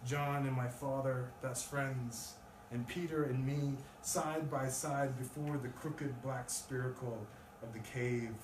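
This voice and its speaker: A male poet speaks at a live reading in a room. His voice is picked up from a distance with plenty of echo. There is clear, loud noise over the recording. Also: mid-range voice, fairly even intonation, slow, few pauses. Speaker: poet reading own work. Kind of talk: live poetry reading with casual introduction. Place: live event in a room with an audience.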